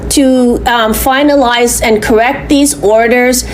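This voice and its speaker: A woman with a loud voice making a complaint to police over the phone, insistent and full of restarts and fillers. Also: on the high side, steady tone, slow, slight background noise, low-quality recording. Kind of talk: heated phone conversation.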